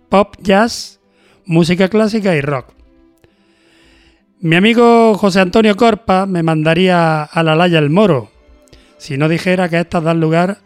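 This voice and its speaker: A man hosting a cultural programme, measured and deliberate, moving between scripted narration and interview talk. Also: a little high, clear, resonant tone, no echo. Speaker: host of a cultural radio programme.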